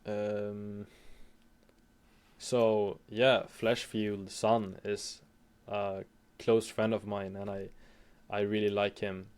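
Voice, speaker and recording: A young man from Sweden with a Swedish accent, speaking English as a second language as an interview guest, hesitant and halting with frequent restarts. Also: on the low side, level tone, darkish tone, clear, resonant tone. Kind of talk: casual interview chat.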